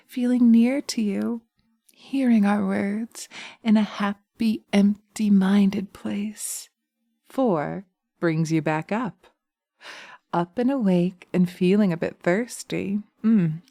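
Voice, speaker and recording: A sly, vengeful witch, played by a woman, coaxes her listener through a hypnosis roleplay. Her voice is unhurried and mellow with a creaky edge, often sinking toward an intimate whisper. She is recorded very close and dry. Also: mid-range voice, no echo. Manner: slow coaxing, soothing, intimate, often slipping into whispers. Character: sly, seductive, vengeful female witch casting a hypnotic spell. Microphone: close binaural mic, dry.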